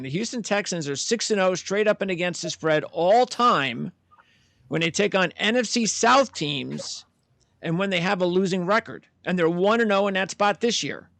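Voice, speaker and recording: A man's voice: high voice, gravelly, a bit bright, dry recording. A man working as a podcast producer, giving channel plugs and reading betting trends in an upbeat way with plenty of uh and um. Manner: upbeat, fills pauses with uh and um.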